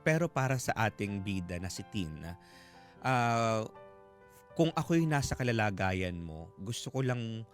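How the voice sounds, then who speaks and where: A man's voice: fairly low pitch, lively intonation, a little slow. A man with a Filipino accent hosting a radio advice show, talking conversationally in Taglish and feeling out the phrasing, in a studio. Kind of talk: casual radio advice talk. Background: background music.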